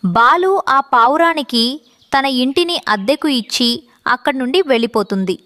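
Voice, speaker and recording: High-pitched woman narrating a children's story. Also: expressive, moderate pace, bright, forceful tone, no echo.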